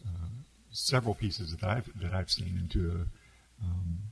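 Very deep, slow, husky male interviewer. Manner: slow, measured, affirming with frequent murmurs. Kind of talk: interview questions.

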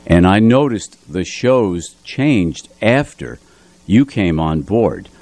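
An older man, a radio music host, reminiscing in a studio with deliberate, halting phrasing. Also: low-pitched, big pitch swings, darkish tone, dry recording.

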